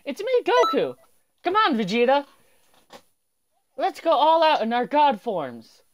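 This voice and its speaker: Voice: high-pitched, wildly swooping pitch, measured pace, exaggerated voice. A performer voicing a cheerful, boyish male warrior in an anime fighting game style, exclamatory and playfully taunting. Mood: eager. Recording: loud game noise behind.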